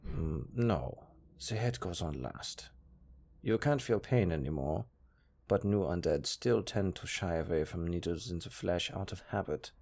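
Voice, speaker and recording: A man's voice: low-pitched, a little mellow, full character voice. A man playing a pragmatic, chatty necromancer who talks casually and matter-of-factly about grisly work in an audio roleplay. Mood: casual, amused. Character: chatty, pragmatic male necromancer, former tailor. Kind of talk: intimate audio roleplay acting.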